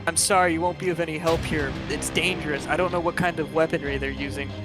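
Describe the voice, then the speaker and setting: A man's voice: a little high, fairly even intonation, fast, music in the background, natural voice. A man voicing an alarmed, brusque guard captain in a tabletop fantasy game, urgent and commanding.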